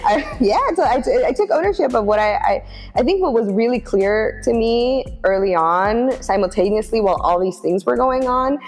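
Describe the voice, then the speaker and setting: A woman's voice: a little high, expressive, normal speed, a little mellow, in a large hall, faint music behind. A woman from Oakland, California, talking as a podcast guest in a reflective way, with many fillers and restarts and easy laughs. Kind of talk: casual podcast conversation.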